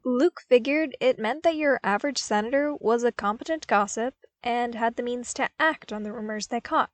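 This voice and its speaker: Expressive woman narrator, close and dry. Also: a little high, moderate pace, no echo. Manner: wry, conversational, emphatic. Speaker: podfic narrator.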